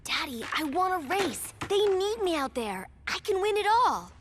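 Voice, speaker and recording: Headstrong young female mechanic, high pitch, lively swings, sassy video game character. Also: moderate pace, noisy background. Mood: pleading. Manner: determined, sassy. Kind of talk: video game cutscene acting. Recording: music under the voice. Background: music.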